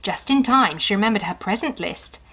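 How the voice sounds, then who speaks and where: A woman storyteller reading a children's story aloud, expressive and unhurried, with conversational asides to the young listener. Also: medium pitch, husky.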